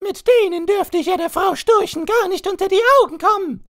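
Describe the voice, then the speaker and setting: A man's voice: very high-pitched, a little fast, a bit bright, no room sound, studio quality, pitched above the performer's own voice. A man voicing a haughty, scornful stork in a storybook, brusque and dismissive. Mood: scornful, indignant.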